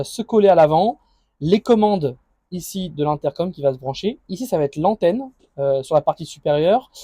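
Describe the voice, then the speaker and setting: A man's voice: fairly high pitch, warm tone, no echo, clean studio sound. A man speaking informally as a vlogger, thinking aloud through an improvised unboxing and installation commentary. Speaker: motorcycle vlogger.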